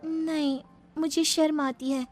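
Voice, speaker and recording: A woman's voice: high voice, little pitch movement, takes it slowly. A woman voicing a coy, cheeky young bride in a cartoon, with a mock-innocent manner. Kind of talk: scripted Hindi cartoon dialogue. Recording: music behind the voice.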